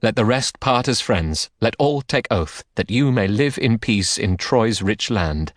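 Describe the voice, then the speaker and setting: A man's voice: fairly low pitch, lively intonation, measured pace, dry recording, clean studio sound. A man voicing a proud young prince who offers single combat, in a defiant, declamatory reading.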